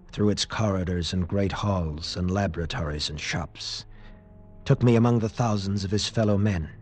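An actor as a haunted, low-voiced captive lieutenant. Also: male voice, natural voice. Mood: grave, haunted. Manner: intense, grave, dramatic pauses. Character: haunted, defiant escaped captive lieutenant telling his ordeal. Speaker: narrator and protagonist. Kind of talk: dramatic first-person radio narration, 1940s-50s.

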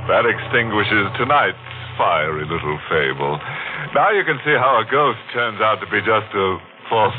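An actor playing a tongue-in-cheek, ghoulish host of a 1940s radio mystery show, sardonic and mock-sinister. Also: male voice, lowish voice. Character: ghoulish, tongue-in-cheek horror host who savours grim puns. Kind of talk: 1940s radio horror hosting. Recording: dull old radio recording, music behind.

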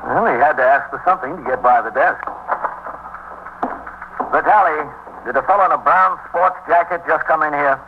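An actor playing a calm, commanding police precinct captain in a 1950s radio drama, speaking in a clipped, matter-of-fact way. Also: male voice, on the high side, normal speed, pauses often, close to an everyday voice. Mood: brisk, commanding. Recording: muffled, noisy 1950s radio recording.

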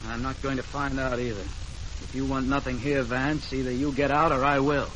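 An actor playing a testy, suspicious murder suspect in a 1940s radio drama, curt and confrontational. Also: male voice, mid-range voice, little pitch movement, normal speed, fairly bright tone, close to an everyday voice. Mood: irritated. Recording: noisy old radio recording with clicks.

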